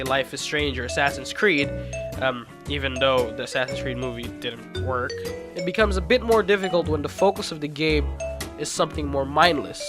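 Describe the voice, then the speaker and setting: A video essayist narrating in a casual, informal and self-deprecating way, as if reading a loose script. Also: mid-range voice. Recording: music playing behind the voice. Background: background music.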